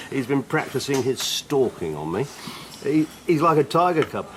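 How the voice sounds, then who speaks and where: An actor playing a stout, jovial, naive loyalist neighbour in a radio drama, with chummy, bumbling chatter. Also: male voice, medium pitch, very animated, measured pace, fairly bright tone, slight background noise. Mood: proud, gushing.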